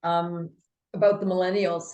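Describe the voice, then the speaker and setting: A woman's voice: on the low side, level tone, normal speed, darkish tone, lo-fi sound. A woman hosting an interview show, talking earnestly and asking rhetorical questions. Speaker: show host. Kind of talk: casual interview talk. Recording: echoey room.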